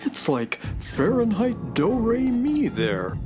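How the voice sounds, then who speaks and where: Man playing a wry, animated space sidekick. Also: high-pitched, slow pace, over music, exaggerated voice. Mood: concerned. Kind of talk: children's audio drama acting.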